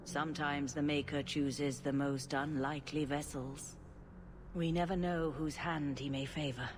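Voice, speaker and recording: A devout, gentle cleric from a fantasy game. The delivery is solemn and formal, with clear background noise around the voice. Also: female voice, sits low, steady tone, unhurried. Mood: serene, devout. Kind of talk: video game cutscene acting.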